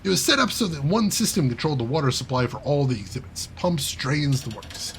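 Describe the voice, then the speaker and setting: A man's voice: mid-range voice, lively intonation, brisk, a little hiss or hum, natural voice. A man reading aloud and voicing a wry male hacker character who tells a self-deprecating heist story in a chatty way.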